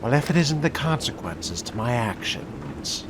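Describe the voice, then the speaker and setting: A man role-playing a sardonic, predatory vampire in solo game commentary, with wry, theatrical delivery and formal turns of phrase, under loud music. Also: mid-range voice, very animated, measured pace, background noise. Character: sardonic, predatory fledgling vampire stalking prey. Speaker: gamer role-playing through a video game.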